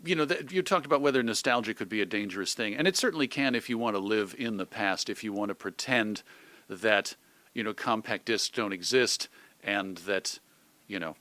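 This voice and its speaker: Middle-aged male interviewee, radio host type, bright forward voice, wry and thoughtful, dry close sound. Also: lowish voice, lively intonation, a little fast, no echo. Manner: wry, self-deprecating humor. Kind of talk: conversational interview, reflective.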